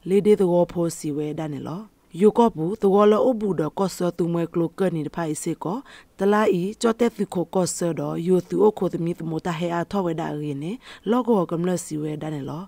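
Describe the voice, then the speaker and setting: Creaky radio news reader, close and dry. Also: medium pitch, normal speed, dark tone, no echo. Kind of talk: scripted news reading.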